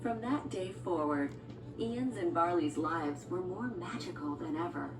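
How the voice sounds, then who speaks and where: Echoey low-pitched woman reading a storybook. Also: unhurried. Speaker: storyteller narrator. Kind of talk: scripted storybook narration. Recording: echoey, distant, music bed. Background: music and sound effects.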